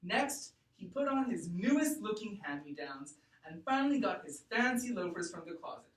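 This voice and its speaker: Woman teacher in an echoey room. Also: medium pitch. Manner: deliberate, expressive, thinking aloud. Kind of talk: read-aloud lesson to a class. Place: classroom. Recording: echoey room, distant mic.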